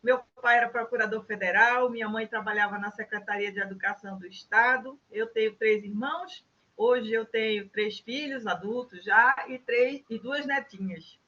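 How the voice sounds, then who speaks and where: A woman's voice: fairly high pitch, normal speed, bright, forceful tone, dull audio. An older woman with a Recife Brazilian Portuguese accent, answering interview questions in a chatty way with frequent tag questions and restarts. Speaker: interview guest, innovation specialist.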